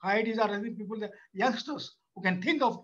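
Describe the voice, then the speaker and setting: An older Indian man with a fairly high voice speaks off the cuff at a webinar, stopping and restarting his sentences often. The sound is dull and lo-fi, as from a video call. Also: fairly bright tone. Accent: Indian English. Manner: halting, many restarts and fillers. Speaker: webinar speaker, former institute chairman. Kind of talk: unscripted speech.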